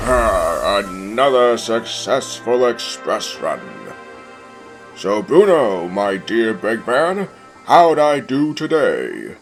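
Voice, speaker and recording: A man's voice: lowish voice, sing-song, slow pace, soft background music, exaggerated voice. A man playing a big, boastful express engine in a children's railway story, with a pompous, self-satisfied manner. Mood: proud, pleased.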